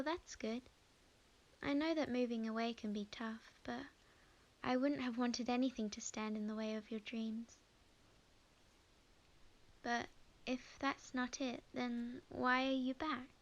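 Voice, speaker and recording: Casting: actress as sweet young witch, high voice, unhurried halting delivery, expressive ASMR audio drama. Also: dull audio. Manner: halting, expressive, talks to herself. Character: young witch woman, flustered, sweet, chatty, fond of friend.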